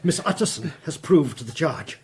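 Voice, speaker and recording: An actor playing an earnest, forthright Victorian gentleman in a gothic radio drama, talking formally and insistently. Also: on the high side, animated, normal speed, slight background noise, lightly acted. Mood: earnest, urgent. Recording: music under voice.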